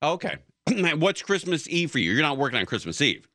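A man's voice: fairly high pitch, sing-song, brisk, sharp tone, no echo, studio quality. A man hosting a casual talk show who tells rambling stories full of fillers and restarts. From Southern California. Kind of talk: casual conversation.

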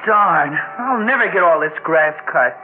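An actor playing a grumbling teenage son who whines and sulks in a 1960s radio drama. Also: male voice, fairly high pitch, lively intonation, a little slow, loud music behind the voice. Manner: whining, sulky complaints. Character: grumbling, put-upon teenage son of the family. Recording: tinny old 1960s radio recording.

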